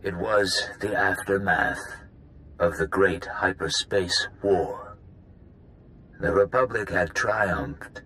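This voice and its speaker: A man voicing a grave, ancient founder of a secret cabal, telling history deliberately in a video game. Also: low-pitched, sharp tone, exaggerated voice, low-quality recording. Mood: solemn. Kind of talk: video game cutscene dialogue.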